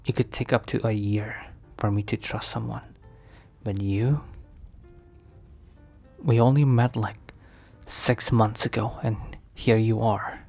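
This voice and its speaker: An actor playing a protective mafia boss boyfriend in an intimate ASMR roleplay, speaking slowly and hesitantly in a confiding, sometimes breathy way. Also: male voice, mid-range voice, darkish tone. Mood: guarded, confiding.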